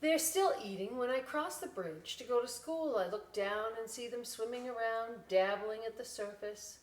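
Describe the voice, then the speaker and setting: A middle-aged woman reading a children's picture book aloud in an expressive, folksy way, pausing for chatty, simple explanations. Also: fairly high pitch. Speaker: library storytime host reading aloud. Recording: roomy echo, distant microphone.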